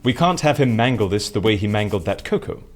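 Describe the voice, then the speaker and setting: An actor playing an excitable, easily exasperated man who masterminds schemes, with an emphatic, theatrical delivery in an audio drama. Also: lowish voice, fairly quick, a little hiss or hum, close to an everyday voice. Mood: scheming, brisk. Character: excitable, exasperated male scheme-master plotting a staged assassination.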